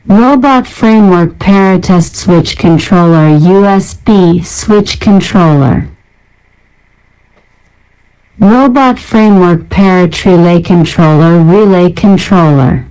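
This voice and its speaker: Female narrator, lowish smooth voice, unhurried scripted read-out of technical names and short descriptions. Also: a little mellow, poor audio. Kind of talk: scripted narration, reading out a software library list.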